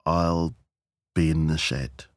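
A man's voice: very deep voice, fairly even intonation, slow, no echo. A man voicing a battered, boozy husband, roguish and casual, in a comic story. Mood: weary, casual. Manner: laconic, casual.

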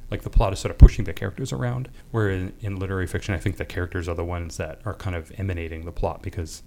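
A man's voice: deep voice, steady tone, rapid-fire, creaky, a little mellow, slight background noise. A man speaking as a guest on a podcast, explaining his ideas about literature as he thinks aloud. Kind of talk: casual literary discussion.

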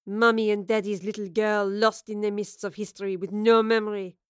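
A woman's voice: medium pitch, normal speed, no room sound, clean studio sound, close to an everyday voice. A woman playing a power-hungry villainess in an audio drama, contemptuous and imperious, sneering and hissing her words.

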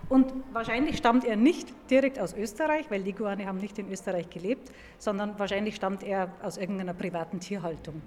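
A woman's voice: mid-range voice, fairly quick, few pauses, a little mellow, a little hiss or hum. A woman paleontologist explaining science to children in an informal, emphatic way, recorded in a large echoing museum hall. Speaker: museum paleontologist, guest expert.

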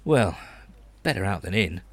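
A man playing a panicky, bumbling head coach, flustered and excitable, in a comedy audio drama. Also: deep voice, moderate pace, slight background noise. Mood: flustered, sheepish.

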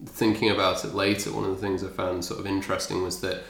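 Man, very low voice, gravelly, thoughtful rambling host, echoey room. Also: level tone, fast, fairly bright tone. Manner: thoughtful, rambling, many fillers. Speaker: podcast host. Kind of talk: casual interview chat.

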